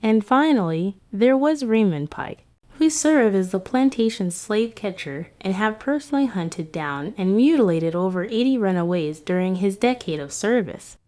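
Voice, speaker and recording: A woman giving scripted history narration in a grave, measured storytelling manner. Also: mid-range voice, lively intonation, dark tone.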